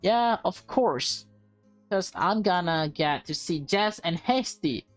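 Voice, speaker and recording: A woman voicing an excited little schoolgirl in a visual novel, eager and childlike. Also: on the low side, slow, dry recording, faint music behind. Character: excited little schoolgirl who loves video games.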